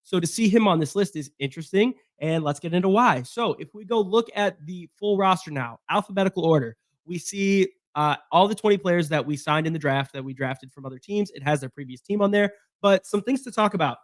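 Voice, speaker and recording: A hoarse man with a fairly high voice talks through a football roster on his own, rambling with fillers and restarts. The sound is dry and close, with no room around him. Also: lively intonation, a little fast, few pauses, dry recording. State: hoarse from being sick. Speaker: sports podcast host. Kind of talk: casual solo sports breakdown.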